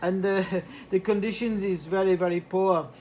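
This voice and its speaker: A man's voice: high voice, steady tone, moderate pace, darkish tone. A man talking casually as a radio operator over a two-way link, hesitant and repeating words. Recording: slightly noisy two-way radio transmission.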